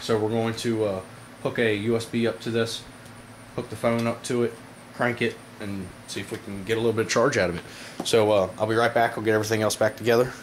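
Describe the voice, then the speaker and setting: A man with a slightly low voice talks viewers through a product demonstration in a casual, informal way. His delivery halts and pauses as he goes. It sounds like an amateur home camera recording with light background noise. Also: level tone. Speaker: product reviewer, video host. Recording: noisy amateur camera audio.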